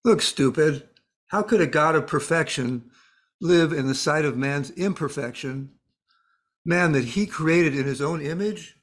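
Man playing a blunt, higher-voiced mysterious spirit. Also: measured pace. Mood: scornful, solemn. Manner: blunt, matter-of-fact, pronouncing. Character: gruff, world-weary spirit voice, blunt and cryptic. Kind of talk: dramatised story dialogue.

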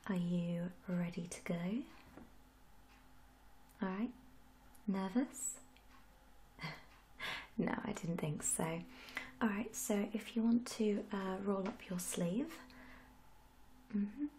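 A young woman speaks very softly and breathily, often close to a whisper, in an ASMR roleplay. Her delivery is slow and gentle, with a mellow tone and polite reassurance. A light background noise sits behind her. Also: mid-range voice. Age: young adult. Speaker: ASMR roleplayer as a tattoo artist. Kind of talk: soft-spoken ASMR roleplay. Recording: audible background noise and hiss.

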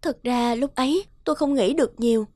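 A woman's voice: high-pitched, fairly quick, warm tone, no room sound, studio quality, close to an everyday voice. A woman voicing an anxious, loving young mother in a cartoon, earnest and emotional. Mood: explaining. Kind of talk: cartoon dubbing. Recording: music behind the voice.

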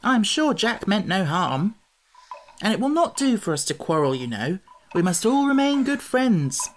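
A woman voicing a good-natured, sensible young boy in a storybook, brisk and soothing. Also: mid-range voice, slight background noise, voice pushed up, close to an everyday voice. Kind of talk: audiobook character voice.

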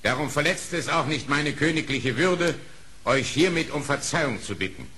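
A man's voice: on the high side, expressive, moderate pace, sharp tone. An actor playing a dignified lion king in an audio play, speaking in a formal, declamatory way. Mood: solemn, gracious. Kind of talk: German audio play acting.

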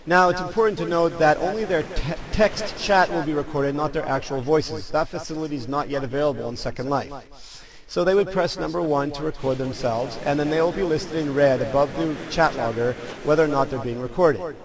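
A man with a fairly high-pitched voice narrates a step-by-step software tutorial. He speaks as a presenter addressing his viewers. A clear murmur of a crowd sits behind his voice. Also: a little fast, poor audio.

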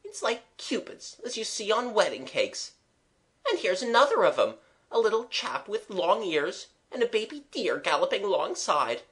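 A narrator playing an excitable young maidservant, pitched up high. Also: normal speed. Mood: rapt, delighted. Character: rapt, excitable young working-class maidservant, female.

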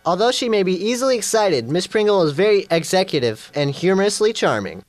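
A man's voice: high-pitched, very animated, brisk, dry recording. A man reading scripted narration for a radio play.

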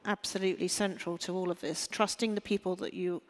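A woman's voice: on the low side, steady tone, a little fast. A woman speaking on a panel discussion, thinking aloud with restarts and checking she is understood. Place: large hall on stage. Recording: hall reverb. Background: hall reverb.

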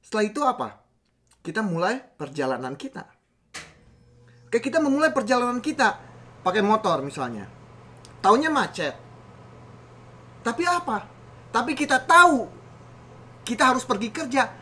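A man in his thirties, recovering from a cold, gives an animated motivational talk to the camera from a small home office, checking in often with his listeners. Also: very high-pitched, moderate pace, pauses often, slight background noise. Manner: animated, gesturing with both hands, many restarts and "oke?" checks. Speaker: motivational channel host. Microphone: camera at desk distance.

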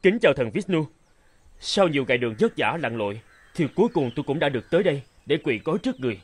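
A man's voice: a little high, sing-song, quick pace, husky, no echo. A man voicing a humble, earnest young pilgrim in a children's cartoon, speaking politely and deferentially. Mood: earnest, reverent. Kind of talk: dubbed children's cartoon acting. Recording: prominent music under the voice.

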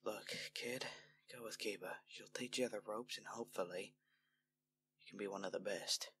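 Man acting a gravelly, firm army general. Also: fairly low pitch, moderate pace. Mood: brisk, authoritative. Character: weary, firm but kindly army general. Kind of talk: scripted roleplay audio drama. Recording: noisy home mic with hum.

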